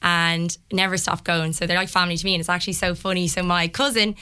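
A woman in her twenties with a Dublin accent, slightly hoarse, talking as a lively radio guest. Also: fairly low pitch, fast talker. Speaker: festival contestant guest.

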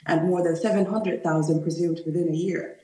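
A woman's voice: on the low side, steady tone, a little fast, mellow. Emphatic young woman in an echoey room. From Sudan. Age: 20s. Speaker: interview guest. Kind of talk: earnest personal account in an interview.

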